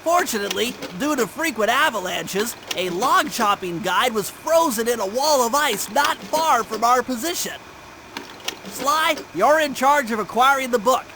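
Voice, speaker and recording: Very high-pitched brainy turtle geek. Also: male voice, expressive, normal speed, noisy background. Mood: earnest, commanding. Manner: precise, bookish. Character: brainy, fussy young male turtle gadget expert. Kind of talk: cartoon video game briefing. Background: music.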